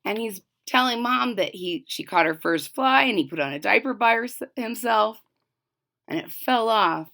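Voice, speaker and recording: A woman's voice: on the high side, lively intonation, moderate pace, vocal fry, pushed and bright. A woman reading a children's story aloud, narrating expressively and emphatically and adding simple explanations. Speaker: storybook reader.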